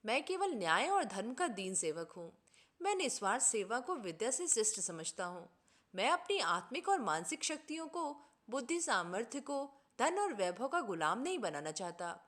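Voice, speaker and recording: A woman's voice: on the high side, sing-song, normal speed, a bit bright. A woman voicing an indignant, idealistic man in a storybook reading, speaking in an earnest, formal, oratorical way. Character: idealistic, indignant man preaching justice and selfless service.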